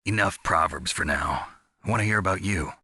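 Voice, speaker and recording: Gruff tired soldier guy from a video game, low raspy voice, dry wry delivery. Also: a little fast, no room sound, full character voice. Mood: dry teasing. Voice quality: gravelly, husky. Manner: terse, wry, deadpan. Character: gruff, world-weary male covert soldier. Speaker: soldier on a mission. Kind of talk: scripted video game voice acting.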